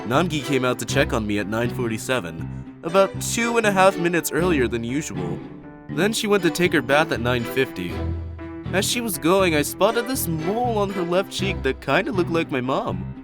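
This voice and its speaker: A man voicing a spacey, laid-back male yak who rambles in a dreamy, drawn-out way. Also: a little high, very animated, music in the background.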